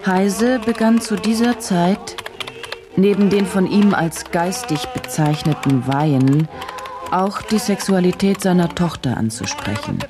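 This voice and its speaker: An actress playing an intense, probing female journalist in a radio drama, speaking in deliberate, clipped, analytical phrases. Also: on the low side, music in the background, close to an everyday voice. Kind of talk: German radio drama acting.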